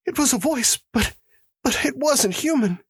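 A man voicing a terrified male prisoner who pleads and stammers in a high, strained voice. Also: lively intonation, unhurried, husky, dry recording, exaggerated voice.

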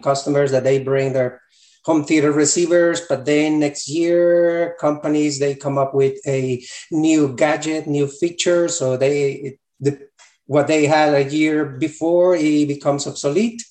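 A middle-aged man with a Colombian Spanish accent talks through his answers haltingly, with many restarts and fillers. His voice sits a little high for a man. The recording has a noticeably echoey room sound. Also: steady tone, a little mellow. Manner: hesitant, many fillers and restarts, loose grammar. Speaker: repair shop owner, guest. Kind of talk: conversational interview answers.

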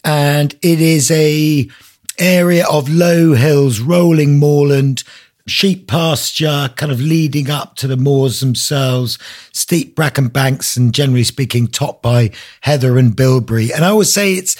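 A man's voice: fairly high pitch, a little slow, darkish tone. A middle-aged man from the Isle of Man answering interview questions in a discursive, descriptive, conversational way. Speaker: author, interview guest. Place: study at home, indoors. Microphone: video call.

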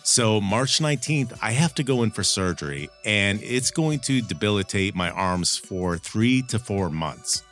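Low-pitched man hosting over music. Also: lively intonation, unhurried, few pauses, fairly bright tone. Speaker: podcast host. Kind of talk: casual podcast chat. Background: background music.

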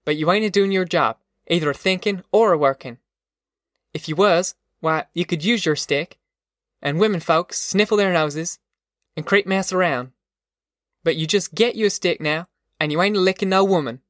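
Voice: mid-range voice, moderate pace, a bit bright, dry recording, clean studio sound, pitched above the performer's own voice, close to an everyday voice. A narrator doing a tough, commanding farm mother. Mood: defiant, scolding. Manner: rustic folksy dialect, blunt and demanding. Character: Ma, tough determined middle-aged farm mother, plain-spoken and commanding. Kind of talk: audiobook character voice.

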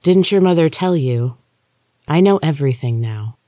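Narrator doing a stubborn young magic heroine, mellow voice, dry wry comebacks. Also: medium pitch, lively intonation, normal speed, no echo, close to an everyday voice. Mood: taunting.

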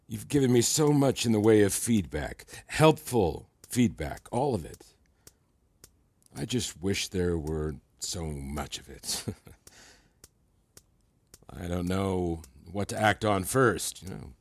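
A falsely flattering older mentor for a fiction podcast. He speaks slowly and swoops theatrically between grand and intimate, with gravel in the voice. The sound is close and dry. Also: low voice, no echo, exaggerated voice. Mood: mock gratitude. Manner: theatrical, effusive, slow savoring delivery with pointed pauses. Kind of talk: fiction podcast audio drama acting.

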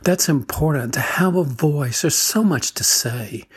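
Husky older man telling a devotional story. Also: fairly high pitch, lively intonation, a little slow. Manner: gentle storytelling, chuckles often. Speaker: devotional speaker. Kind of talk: devotional talk.

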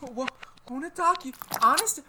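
A man plays a frightened assistant with an extremely high, shrill voice, recorded over clear background noise. He stammers and panics through old-time radio horror lines. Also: normal speed. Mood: defiant fear. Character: terrified, simple, loyal lab helper.

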